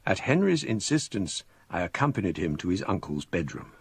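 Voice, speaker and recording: A man acting as an erudite English gentleman, narrating a ghostly radio drama in a measured, formal, literary manner. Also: low voice, very animated, creaky, husky, heavily acted. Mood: sombre. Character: erudite English gentleman recalling an eerie tale, measured and grave. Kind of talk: scripted first-person narration, radio drama. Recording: faint background noise.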